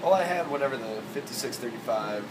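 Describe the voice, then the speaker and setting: A man's voice: mid-range voice, lively intonation, fast talker. A man working as a college instructor, talking in a group discussion read aloud. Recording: distant mic in noisy room.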